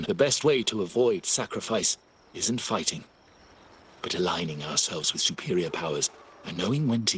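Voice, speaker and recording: An actor playing a ruthless, calculating crime family boss in a video game, cold and commanding, with a husky, rough voice. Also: male voice, low voice, a bit bright, slight background noise. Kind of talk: dramatic video game voice acting.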